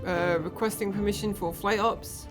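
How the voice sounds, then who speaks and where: A woman's voice: mid-range voice, steady tone, moderate pace. A woman hosting a gaming video, speaking in a narrator's style as she recounts and takes part in online roleplay. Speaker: video host and trainee air traffic controller in a game org. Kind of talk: scripted voice-over narration with some in-game roleplay talk. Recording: music behind the voice. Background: music.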